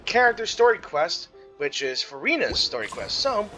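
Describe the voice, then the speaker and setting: A woman doing casual gameplay commentary, halting at times, with jokey asides. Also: lowish voice, sing-song. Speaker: gaming streamer. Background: faint game music.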